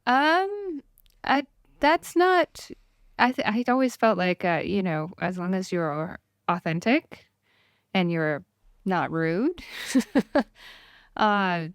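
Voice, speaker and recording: A middle-aged woman who grew up in Thailand, a documentary filmmaker speaking as a guest in a casual interview, thoughtful and hesitant with many pauses. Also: medium pitch, very animated, no echo, clean studio sound.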